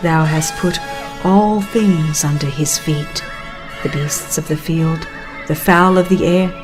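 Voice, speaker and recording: Slow, lowish-voiced woman narrating solemnly. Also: over music, low-quality recording. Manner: solemn, measured, expressive reading. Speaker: audiobook narrator. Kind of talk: dramatized scripted narration of a religious book.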